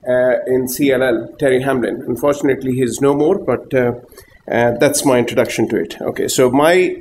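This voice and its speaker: A middle-aged man from Pakistan, a consultant haematologist, giving a conference talk to patients in a small room, halting and full of fillers. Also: medium pitch, darkish tone, a little hiss or hum. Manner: hesitant, many fillers, rambling asides.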